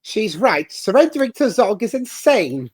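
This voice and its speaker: Female comrade, read by a woman: husky, mid-pitched, animated, firm and protective, comic book drama. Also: normal speed. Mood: firm, concerned. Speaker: comic dub voice reader. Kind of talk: acted comic book reading.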